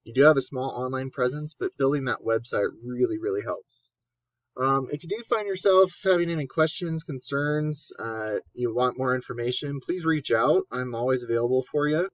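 A man's voice: mid-range voice, normal speed, dry recording, dull audio. A man who works in online marketing casually explains search rankings over a screen recording, full of ums and restarts. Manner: casual, many fillers and restarts. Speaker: SEO marketer. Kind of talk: screen-share walkthrough.

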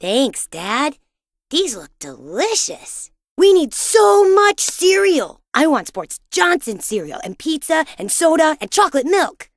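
An excitable, boastful young boy from a cartoon-style video game. His voice sits high and swings around wildly in pitch, with a dramatic, childlike delivery. He takes his time over the words, full of imagination and swagger. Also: a little slow, no room sound, clean studio sound, heavily acted. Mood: eager, chatty. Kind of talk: animated video game voice acting.

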